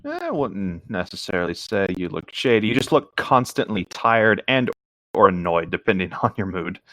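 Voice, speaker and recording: A man's voice: lowish voice, big pitch swings, moderate pace, a bit bright, no echo. A man reading aloud and chatting casually, with informal, hesitant asides and mild swearing. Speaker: podcast co-host reading a story aloud.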